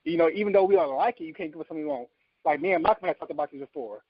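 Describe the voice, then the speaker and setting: A man with a creaky voice giving emphatic opinions in a casual panel chat. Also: fairly high pitch, big pitch swings, racing, a crowd in the background. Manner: emphatic, insistent, argues with examples. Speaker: podcast panelist. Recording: slightly dull, lo-fi audio.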